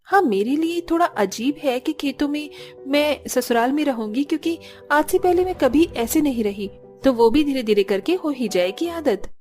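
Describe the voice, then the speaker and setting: Woman acting earnest young daughter-in-law, high voice. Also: expressive, normal speed, a little mellow, faint music behind. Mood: reassuring, earnest. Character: young poor daughter-in-law, anxious, sensible and plain-spoken.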